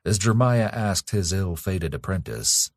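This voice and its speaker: A man with a husky voice reading a dark fantasy horror audiobook in a solemn, dramatic, measured storytelling manner. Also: low-pitched, expressive, no room sound, clean studio sound. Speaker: author narrating own audiobook.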